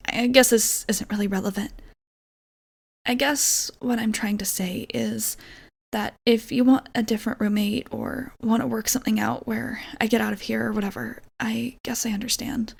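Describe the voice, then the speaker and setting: Girl doing a nervous lady vampire roommate for asmr, kinda high voice w lots of vocal fry, rambly n apologetic. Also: exaggerated voice. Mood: nervous, reassuring. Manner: hesitant, rambling, self-deprecating, often dropping toward a whisper. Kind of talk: ASMR roleplay, softly acted monologue.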